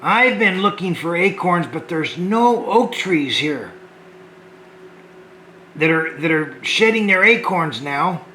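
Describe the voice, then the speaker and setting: A man with a fairly high voice speaks slowly and gently to young viewers, stumbling and repeating his words as he goes. The room is echoey, with a faint hum of ambience behind him. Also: lively intonation. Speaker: children's show host. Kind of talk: talking to children on a homemade show.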